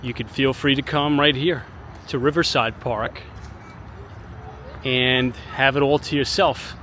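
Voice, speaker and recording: Guy walking around outside doing a tour vlog, kinda hoarse, loud city noise around him. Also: medium pitch, unhurried. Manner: relaxed, chatty tour guide. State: hoarse, recovering from illness. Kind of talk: casual walking tour narration. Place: outdoors on city streets and in a park. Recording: outdoor street noise behind voice.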